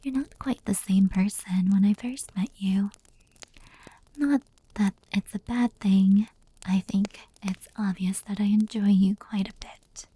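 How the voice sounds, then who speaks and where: A woman playing a gentle fox-demon yokai in an ASMR roleplay, telling stories slowly and intimately in a soft, breathy whisper. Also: medium pitch, steady tone, rough recording. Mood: tender. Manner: slow, intimate, unhurried.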